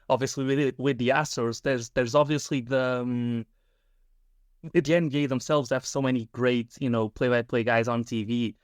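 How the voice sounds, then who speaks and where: A man's voice: medium pitch, smooth, dry recording. A man from Europe, co-hosting a podcast, speaking enthusiastically with many restarts. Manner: enthusiastic, halting, repeats words. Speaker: podcast co-host. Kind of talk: casual podcast chat.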